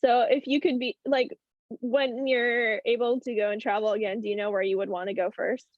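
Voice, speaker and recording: A woman's voice: fairly high pitch, steady tone, moderate pace, no room sound. A woman hosting a show, chatting casually and laughing easily with her guest on a video call. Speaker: show host. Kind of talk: casual chat. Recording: dull, dry video call audio.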